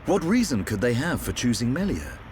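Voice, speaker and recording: A man plays a thoughtful young adventurer in a video game cutscene. His mid-pitched voice rises and falls dramatically. He sounds earnest and questioning. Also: very animated, fairly quick, a little mellow, slight background noise, close to an everyday voice. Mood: puzzled. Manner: earnest, inquisitive.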